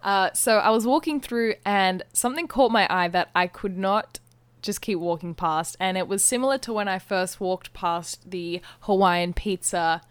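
A woman's voice: medium pitch, moderate pace, smooth, dry recording. A woman with an Australian accent co-hosting a podcast in casual, playful banter. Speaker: podcast co-host. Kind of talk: casual comedic chat.

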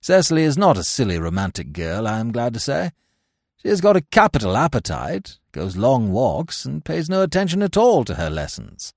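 A man voicing a stiff, earnest young gentleman, pompous and easily irritated, in a storybook reading. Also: medium pitch, wildly swooping pitch, moderate pace, vocal fry, dry recording, studio quality, heavily acted. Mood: brisk, self-satisfied.

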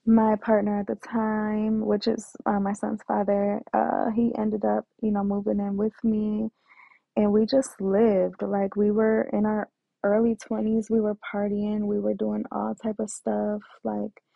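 A woman slowly tells her personal story, with a gravelly fry in her voice and frequent hesitations and restarts. The recording is dry and tinny, like a phone line. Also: medium pitch, fairly even intonation, no room sound. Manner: hesitant, many fillers and restarts. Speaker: guest telling her life story.

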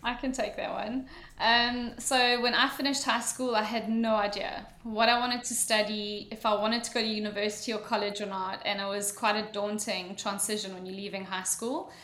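A woman from Mauritius with a South African accent, telling her career story as a podcast guest in a relaxed, storytelling way. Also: medium pitch, normal speed. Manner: relaxed storytelling, frequent ums. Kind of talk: conversational interview answer. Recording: echoey room.

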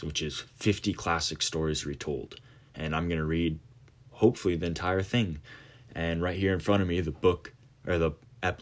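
Podcast host, late teens, male, very low voice, loose rambling delivery full of ums. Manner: rambling, many fillers and restarts. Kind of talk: casual monologue.

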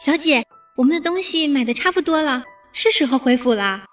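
Woman playing a very high-voiced young maidservant. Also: lively intonation, brisk. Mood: dutiful, concerned. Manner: deferential, fussing. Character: young maidservant, dutiful, caring, cautious. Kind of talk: Chinese period audio drama acting. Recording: distant, music behind.

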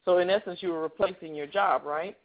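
A man's voice: high-pitched, brisk. A male radio show host with a heavy voice, chatting loosely in an interview. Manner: says he has a heavy voice; chatty, rambling with restarts. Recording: tinny phone-like line.

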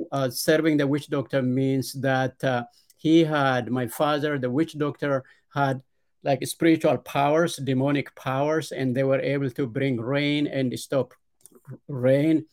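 A middle-aged man with an Ethiopian accent, a podcast guest telling his life story in a hesitant way, with many "uh" pauses and repeated starts. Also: medium pitch, a little mellow. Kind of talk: conversational storytelling.

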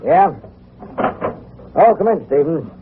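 A man's voice: on the high side, lively intonation, takes it slowly. A man acting a businesslike state police chief in a 1950s radio crime drama, clipped and authoritative. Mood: brisk. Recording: hissy, narrow-band old radio recording.

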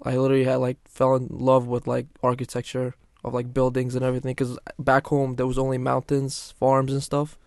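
A man's voice: medium pitch, monotone, brisk, creaky, few pauses, a little mellow, no room sound, studio quality. A young man telling his story in a casual podcast chat, earnest and full of restarts. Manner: earnest, many restarts and "literally". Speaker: guest.

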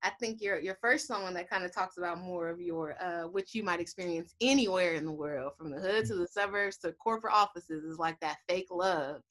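A woman's voice: fairly low pitch, moderate pace, few pauses, fairly bright tone. A young woman from Los Angeles interviewing a musician, chatty and relating his answers to her own life. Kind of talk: casual interview conversation.

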